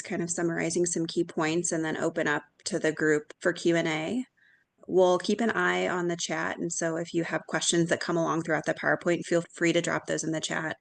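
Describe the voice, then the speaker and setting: A woman's voice: on the low side, vocal fry. A young woman resident welcoming attendees and explaining the webinar plan. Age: young adult.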